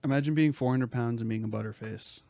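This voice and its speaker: A man's voice: mid-range voice, fast talker, gravelly, warm tone, no echo. A young man bantering as a podcast co-host, teasing and slangy, repeating phrases for comic effect. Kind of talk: casual comedic podcast banter.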